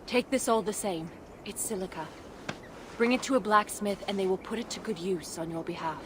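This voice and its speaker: A woman's voice: medium pitch, moderate pace, close to an everyday voice. A woman playing an earnest, grateful dwarf ally in a video game, sincere and direct. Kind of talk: video game cutscene acting. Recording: faint ambient noise.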